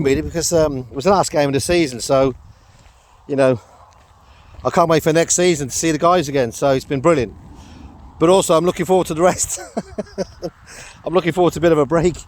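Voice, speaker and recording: A middle-aged man with a croaky throat, chatting casually on a walk with his dog in a rambling vlog. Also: mid-range voice, a little mellow. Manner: rambling, chatty, lots of fillers and laughs. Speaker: vlogger.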